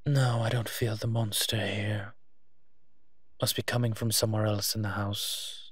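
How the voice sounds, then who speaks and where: A man playing a wry, teasing monster who partners a human detective in a roleplay audio drama, speaking with hums and pauses. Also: on the low side, little pitch movement, fairly bright tone, husky, dry recording. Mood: calm, focused.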